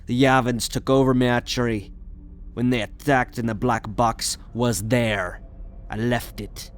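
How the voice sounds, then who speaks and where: A man acts out a shady alien collector in an audio roleplay, gruff and indignant, with a middling pitch. He haggles and grumbles with an old bitterness. Ambient noise sits clearly behind the voice. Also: steady tone, measured pace, close to an everyday voice. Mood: grudging. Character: shady, bitter green male alien collector, grieving widower. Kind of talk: ASMR roleplay audio drama.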